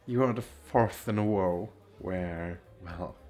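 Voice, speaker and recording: Man acting a shy, softly flirty crossdressing guy. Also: low voice, takes it slowly. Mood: flustered. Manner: hesitant, stammering, giggly. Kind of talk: ASMR roleplay.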